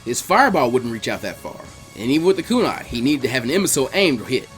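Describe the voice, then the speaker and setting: A man narrating fan fiction aloud in an expressive, scripted storytelling style. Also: medium pitch, quick pace, soft background music.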